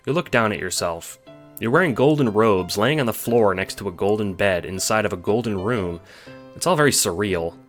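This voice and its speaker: A man's voice: on the low side, animated, moderate pace, a bit bright, faint music behind. A man reading scripted second-person story narration, as the narrator of an audio drama.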